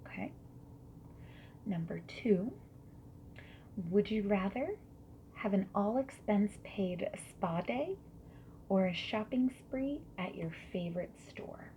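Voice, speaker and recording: A woman doing a soft-spoken ASMR roleplay as a friendly survey interviewer, slipping between whispers and quiet speech. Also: medium pitch, animated. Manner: friendly, gentle, unhurried questioning with soft acknowledgements. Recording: lo-fi muffled sound with background noise.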